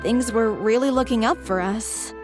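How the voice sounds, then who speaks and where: A woman plays a devoted young actress from a small theater troupe. She speaks sincerely in a fairly high voice. Clear music runs behind her. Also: normal speed, natural voice. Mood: fond. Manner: sincere, heartfelt. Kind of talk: game cutscene voice acting.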